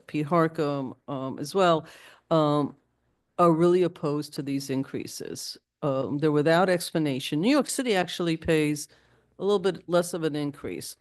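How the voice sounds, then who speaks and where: A woman's voice: deep voice, darkish tone. Emphatic woman at a public meeting. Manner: hesitant, then indignant and emphatic. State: losing her voice. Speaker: village official presenting a resolution.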